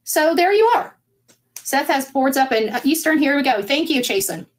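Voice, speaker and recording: A middle-aged woman with an extremely high voice talks on a livestream. She argues her case insistently, in a combative way. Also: lively intonation, normal speed. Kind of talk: argumentative livestream monologue.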